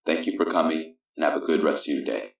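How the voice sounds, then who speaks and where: A man's voice: a bass voice, quick pace, vocal fry. A man playing an official who politely and formally closes a press briefing. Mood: courteous. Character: very deep-voiced official closing a press briefing. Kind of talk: press conference statement. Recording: heavily echoing, distant from mic.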